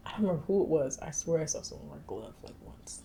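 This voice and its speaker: Low-pitched woman chatting casually, noisy room. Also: animated, quick pace, creaky, mellow. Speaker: podcast co-host. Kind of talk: casual chat.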